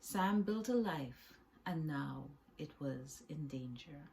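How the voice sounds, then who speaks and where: Woman, lowish pitch, author reading aloud, children's storytelling. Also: animated, takes it slowly, darkish tone.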